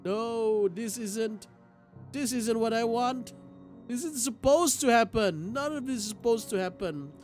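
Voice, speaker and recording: A man with a high voice streams a visual novel. He reads the text aloud dramatically and reacts in a casual way. Guitar music from the game plays clearly behind him. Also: measured pace.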